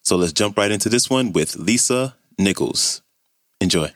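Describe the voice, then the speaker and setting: A male podcast host reading an upbeat, measured show introduction. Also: on the low side, animated, dry recording, studio quality.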